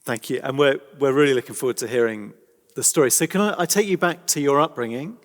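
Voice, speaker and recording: A man interviewing a guest, asking courteous, gently probing questions. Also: mid-range voice, quick pace. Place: large church. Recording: long reverb of a large church hall.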